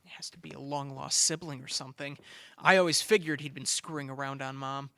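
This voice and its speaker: A man's voice: medium pitch, fairly even intonation, a little fast, gravelly, pushed and bright, no room sound. A man playing a sarcastic, hot-headed, entitled rich son in an audio drama, with an agitated, emphatic delivery. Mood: irritable. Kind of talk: audio theatre acting.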